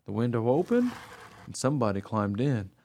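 A man's voice: mid-range voice, huge pitch swings, moderate pace, darkish tone, slight background noise. An actor playing an eager, trusting young dog who tells his own story in a radio play with innocent earnestness. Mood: earnest, guileless. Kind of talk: first-person radio play narration.

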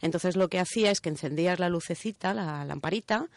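A woman from a science radio show chats casually with a Spain accent. Her voice sits fairly low for a woman. The sound is dry and free of room. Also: quick pace, dry recording. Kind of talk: casual chat.

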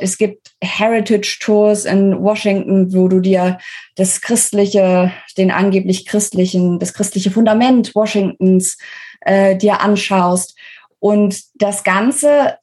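A woman's voice: mid-range voice, mellow, slightly rough. A woman giving articulate explanations as an interview guest, stressing key words. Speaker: interview guest, book author. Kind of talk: explanatory interview talk on a podcast.

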